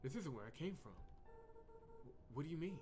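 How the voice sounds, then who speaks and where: A man voicing the anxious, earnest teenage boy hero of a visual novel, in an expressive, questioning way. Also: fairly high pitch, a little fast, over music, pitched above the performer's own voice, lightly acted. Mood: earnest, worried.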